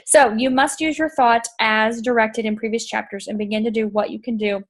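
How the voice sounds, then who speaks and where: Woman host, kinda high voice, super emphatic, fired up, repeats stuff, bit of a throat tickle. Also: fairly even intonation, moderate pace. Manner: enthusiastic, emphatic, repeats points. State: throat tickle, occasional coughs. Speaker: podcast host. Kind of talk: casual chat reading from a book.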